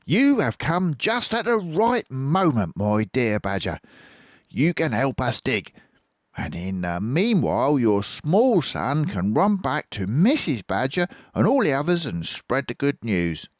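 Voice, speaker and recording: A man's voice: mid-range voice, sing-song, a little slow, no echo, clean studio sound, voice pushed up. A man voicing a confident, generous, scheming male fox for a children's storybook, grandly persuasive and savouring his words. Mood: eager, conspiratorial.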